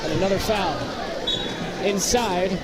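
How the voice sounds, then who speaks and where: American guy calling hoops, high voice, short clipped phrases, super loud gym crowd behind him. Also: measured pace, very noisy. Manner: clipped short phrases, excitable on big plays. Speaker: play-by-play commentator. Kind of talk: live basketball play-by-play commentary. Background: loud crowd and bouncing basketballs.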